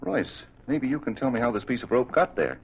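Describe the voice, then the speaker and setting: An actor playing an earnest, loyal sidekick in a radio drama, friendly and insistent in manner. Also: male voice, mid-range voice, sing-song, brisk. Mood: probing. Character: earnest, loyal male sidekick to a priest detective. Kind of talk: old-time radio drama acting. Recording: muffled old radio recording.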